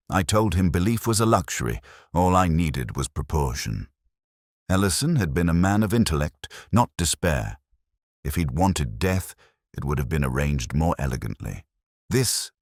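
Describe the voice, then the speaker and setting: A man playing a world-weary, cerebral police detective, narrating a radio drama in the first person in a measured, melancholic, dryly ironic manner. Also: a bass voice, no room sound, clean studio sound, heavily acted. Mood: brooding, wry.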